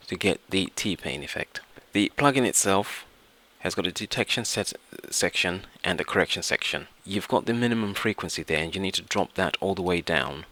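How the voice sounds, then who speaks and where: A man narrating a software tutorial, explaining step by step in an instructional way. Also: deep voice. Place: indoors, voice-over to screen recording.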